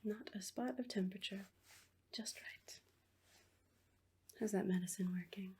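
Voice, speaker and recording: Horror ASMR nurse, female performer: hushed, husky whisper, gravelly edge, coaxing reassurance over quiet menace. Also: mid-range voice. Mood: soothing, clinical. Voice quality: breathy whisper. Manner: slow, coaxing, falsely reassuring. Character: sinister asylum nurse, soothing on the surface, quietly menacing.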